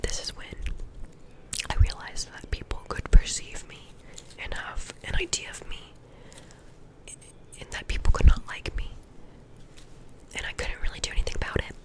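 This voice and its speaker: A young woman getting over a cold, softly telling rambling, self-deprecating stories from her school days in an ASMR whisper. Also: moderate pace, pauses often. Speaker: vlogger.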